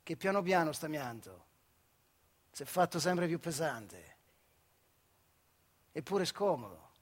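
An actor playing an embittered old retired factory worker in a radio theatre monologue, in earthy, colloquial central Italian dialect from the Marche, moving between hushed and loud. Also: male voice, on the high side, very animated, a little slow, slightly rough, full character voice. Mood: wry, reminiscing.

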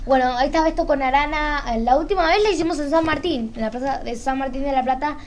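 A woman's voice: sits high, quick pace. A young woman with a Rioplatense Argentine accent, chatting casually on radio and searching for her words. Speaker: radio show presenter. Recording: faint background noise.

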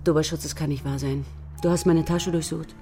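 An actress playing a confident, quick-witted middle-aged female criminal investigator in a radio crime drama, cool and seductive. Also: low voice, steady tone, warm tone, faint music behind. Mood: cool, accusing.

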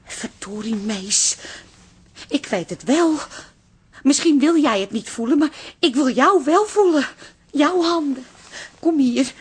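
An actress playing a frank, headstrong wife with a tender side in a Dutch radio play, direct and insistent. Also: female voice, sits high, expressive, slow. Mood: impassioned.

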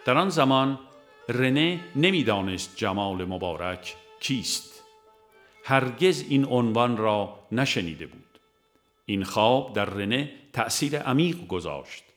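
A man with an Iranian Persian accent reads a religious audiobook in a measured, formal, literary manner. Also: medium pitch, expressive, a bit bright, soft background music. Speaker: audiobook narrator. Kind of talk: scripted narration of a religious book.

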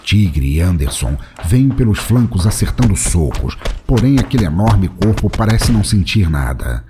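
A man's voice: deep voice, big pitch swings, normal speed, background noise. A man with a Brazilian accent delivering scripted narration for a comic action story. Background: music.

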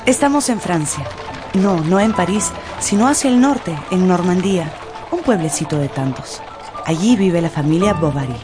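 Animated woman narrator over music. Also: on the low side, normal speed. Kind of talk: dramatised storytelling narration for radio.

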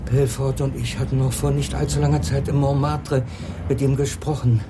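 An actor playing an uneasy small-time crook in a German radio crime drama, speaking in a wary, defensive and urgent way. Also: male voice, mid-range voice, little pitch movement, normal speed, noisy background, close to an everyday voice. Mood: tense. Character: uneasy small-time male crook seeking police protection.

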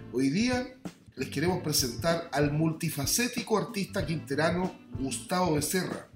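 High-pitched Chilean male radio host. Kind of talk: scripted radio newsmagazine narration. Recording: roomy voice over music. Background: music with plucked guitar.

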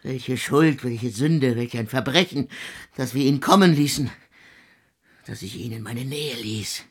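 A husky, tormented composer in anguish. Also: male voice, medium pitch, measured pace. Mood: broken, guilt-ridden. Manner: archaic, bitter, declamatory speech with curses. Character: anguished, embittered male composer, cursing and self-accusing in grief. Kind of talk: literary radio drama acting.

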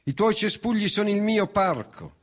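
A man's voice: high-pitched, lively intonation, moderate pace. A man giving a solemn, declamatory dramatic recitation of poetry. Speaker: reciting performer. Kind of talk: dramatic recitation of autobiographical verse.

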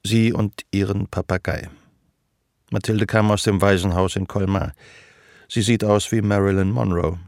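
A man narrating a radio drama in a measured, literary storytelling manner. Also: fairly low pitch, steady tone, darkish tone, no echo, studio quality.

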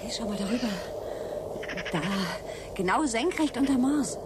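An actress playing a self-assured, sharp-tongued space pilot in a radio drama, crisp and independent. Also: female voice, a little high, lively intonation, normal speed, heavy background noise, natural voice. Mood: breathless wonder. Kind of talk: German radio drama acting.

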